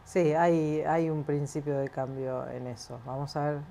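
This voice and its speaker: A woman's voice: sits low, fairly even intonation, a little slow, creaky, dark tone. A woman hosting an interview, mostly chiming in with short words of agreement. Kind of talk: interview conversation.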